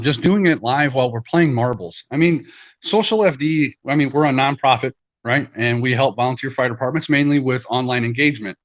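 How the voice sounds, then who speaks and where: A man's voice: medium pitch, a bit bright. A man hosting a live stream in a casual, loosely conversational, plain-spoken way.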